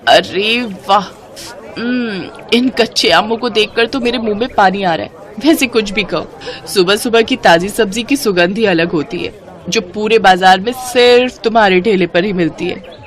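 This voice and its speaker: A woman's voice: medium pitch, normal speed, a faint crowd, lightly acted. A woman voicing a friendly, appreciative young married woman in a moral story, speaking with easy familiarity. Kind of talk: Hindi moral story character dialogue.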